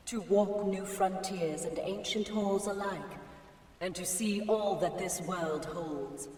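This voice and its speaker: An actor voicing a man driven by a dream of exploration in a slow, earnest game lore monologue, with a distant, long echoing reverb. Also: sits high, darkish tone. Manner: slow, earnest, reflective narration.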